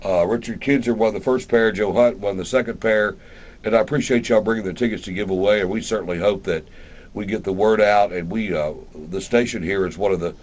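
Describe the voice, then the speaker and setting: A man hosting a live radio interview in a folksy, halting way, often repeating words. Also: on the low side, darkish tone. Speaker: radio show host.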